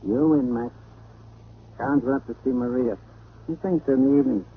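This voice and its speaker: An actor playing a lazy, surly, tight-lipped bartender with a gravelly voice in an old radio drama. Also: male voice, mid-range voice, normal speed, narrow, tinny audio, close to an everyday voice. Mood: guarded. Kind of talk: old-time radio detective drama acting. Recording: very noisy, thin vintage radio recording.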